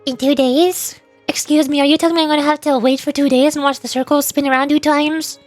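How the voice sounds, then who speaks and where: A woman's voice: high-pitched, fairly even intonation, brisk, soft background music. A woman livestreaming a game, chatting playfully and muttering to herself as she goes. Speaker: VTuber streamer. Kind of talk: livestream chat while gaming, reading game dialogue aloud.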